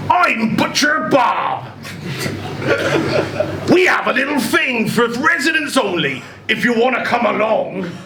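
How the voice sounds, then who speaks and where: Man putting on loud, hearty village butcher. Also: very high voice, very animated, voice pushed up, exaggerated voice. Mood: hearty, jovial. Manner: loud, hearty, slow emphatic delivery. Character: huge, tattooed, hearty male village butcher. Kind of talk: live short story reading. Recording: echoey live room, clear background noise.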